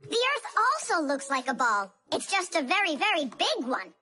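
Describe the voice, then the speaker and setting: A woman voicing a bossy, quick-witted little girl creature in a cartoon, brisk and confident. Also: very high-pitched, big pitch swings, bright, forceful tone, full character voice. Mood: teasing. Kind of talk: animated cartoon voice acting.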